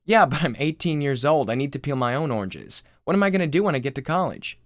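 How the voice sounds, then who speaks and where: A man's voice: on the high side, expressive, fast, dry recording, clean studio sound, natural voice. Man playing an exasperated, sarcastic teenage boy. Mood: incredulous. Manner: sardonic, incredulous. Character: exasperated, sarcastic eighteen-year-old son.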